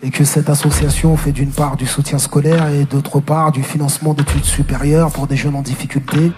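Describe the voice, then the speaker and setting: A man's voice: fairly high pitch, little pitch movement, normal speed, noisy background. A man who founded an association, explaining its work in an interview.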